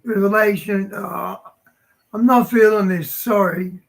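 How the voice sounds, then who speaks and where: A hoarse man hosting a livestream, reading scripture aloud haltingly and chatting casually. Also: high-pitched, fairly even intonation. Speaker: livestream host.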